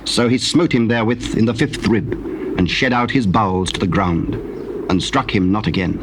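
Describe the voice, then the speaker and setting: A man giving a solemn, measured scripted narration of dramatized scripture. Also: on the low side. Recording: noisy cassette tape recording.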